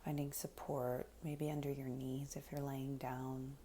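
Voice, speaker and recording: Female meditation guide, soft and breathy with a dark, relaxed tone, soothing and unhurried, faint noise in the background. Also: a bass voice. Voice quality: soft, breathy, often near a whisper. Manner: soothing, unhurried, gentle instruction with long pauses.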